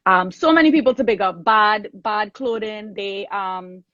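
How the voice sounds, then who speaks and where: A woman's voice: medium pitch, unhurried, fairly bright tone, dry recording. Woman in dry, slightly dull audio. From Trinidad. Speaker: soca singer, interview guest. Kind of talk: casual interview conversation.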